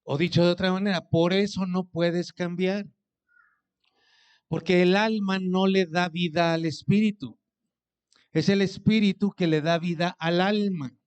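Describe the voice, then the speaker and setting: A man's voice: sits high, fairly even intonation, measured pace, darkish tone, smooth. A man preaching a sermon as pastor, speaking conversationally, asking his congregation questions and acting out what people say to him.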